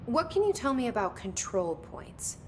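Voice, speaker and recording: A woman's voice: on the high side, very animated, normal speed, noisy background. A woman playing a guarded, determined young American director in a video game, speaking directly and tersely. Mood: curious, guarded. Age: young adult. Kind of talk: video game dialogue acting.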